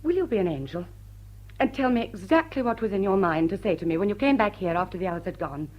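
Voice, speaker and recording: Woman playing a teasing society lady, husky and lilting. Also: medium pitch, lively intonation, fast talker, lo-fi sound, lightly acted. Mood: firm, goading. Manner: arch, elegant, mock-sweet. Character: poised, witty, teasing society lady, coolly rebuking. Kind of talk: vintage drawing-room comedy radio drama.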